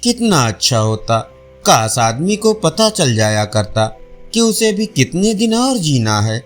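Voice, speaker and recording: Man acting a fretful, high-voiced old village farmer. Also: big pitch swings, a little slow. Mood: musing. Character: stubborn, dim-witted seventy-year-old village farmer, anxious. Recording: faint music behind voice.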